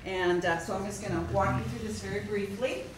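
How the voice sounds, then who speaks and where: Lady councilor presenting at a town meeting, kinda halting, medium pitch, sounds far from the mic. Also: steady tone. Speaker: city councilor giving a presentation. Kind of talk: informal public meeting presentation. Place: indoor council meeting room. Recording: echoey, noisy meeting room, distant mic.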